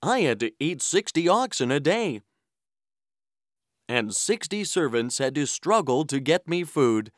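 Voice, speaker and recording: An actor playing an earnest, polite traveler who tells his adventure in an expressive children's story style. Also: fairly high pitch, a little slow, dry recording, studio quality. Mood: earnest storytelling. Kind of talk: scripted children's story narration in character. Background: music.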